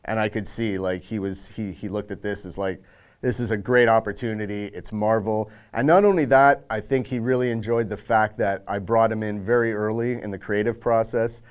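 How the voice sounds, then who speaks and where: Audio director, male, fairly low register, reflecting informally on a project for a making-of piece. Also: steady tone, moderate pace, smooth. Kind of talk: casual making-of interview.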